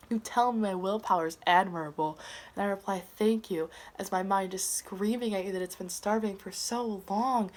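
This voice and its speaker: A woman performing a slam poem in a dramatic, earnest and deliberate delivery. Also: medium pitch. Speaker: slam poet. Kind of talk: slam poetry recitation.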